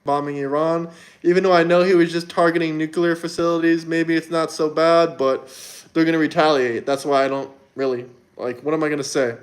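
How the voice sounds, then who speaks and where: Chatty young American man, slightly high voice. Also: little pitch movement, fairly quick. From Florida, United States. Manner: loose, conversational, repeats himself. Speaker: livestream host. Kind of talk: casual livestream chat, reading viewer comments. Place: indoors in Montenegro.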